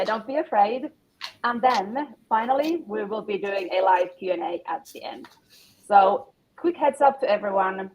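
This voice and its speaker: A woman's voice: medium pitch, darkish tone, poor audio. A woman co-hosting an online webinar over a video call, explaining housekeeping to the audience. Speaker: co-host. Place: indoor room, video call.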